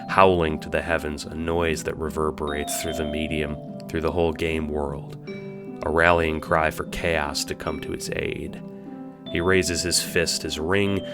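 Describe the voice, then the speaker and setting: A man playing a sly, theatrical puppet-master narrator who toys with listeners in an audio drama. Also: extremely low pitch. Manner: teasing, mock-cheerful, swooping between hushes and exclamations. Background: music.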